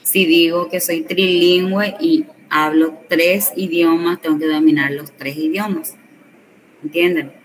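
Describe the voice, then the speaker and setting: A woman teaching a class, explaining slowly and often checking that students follow. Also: on the low side, steady tone. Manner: slow explanatory, checks understanding with tag questions. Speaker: Guarani teacher. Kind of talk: classroom lesson. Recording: recorded from a distance with faint background noise.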